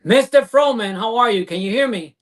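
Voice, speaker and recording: A man hosting an online interview show over a video call, effusive and halting with many fillers and restarts. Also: extremely high pitch, lively intonation. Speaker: show host.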